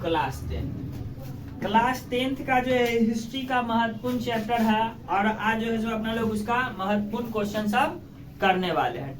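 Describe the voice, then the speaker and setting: A man's voice: very high-pitched, a bit bright, slight background noise. Echoey, distant Bihari male teacher. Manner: repeats phrases and asks prompting questions for pupils to answer. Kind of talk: classroom lecture explaining in simple colloquial terms. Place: echoey classroom with pupils present. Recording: echoey room, distant microphone.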